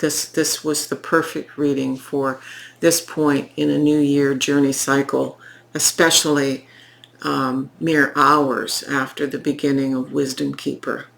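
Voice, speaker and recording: A woman giving a tarot reading in an unhurried, reflective way, her voice croaky from recent illness. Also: rumbling low, level tone. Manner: slow, reflective, pausing, with fillers. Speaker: tarot reader. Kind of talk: unscripted spoken tarot reading.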